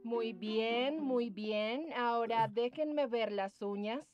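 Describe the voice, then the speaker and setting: An unbothered cartoon doctor. She speaks slowly and matter-of-factly in a fairly high voice. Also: dull audio, faint music behind. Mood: businesslike. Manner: matter-of-fact. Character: brisk, unbothered woman doctor. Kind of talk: cartoon dubbing.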